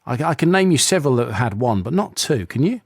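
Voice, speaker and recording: A man's voice: medium pitch, big pitch swings, fast, creaky, no room sound, clean studio sound. A man presenting a radio programme, interviewing a guest with chatty, enthusiastic and rambling questions. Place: radio studio.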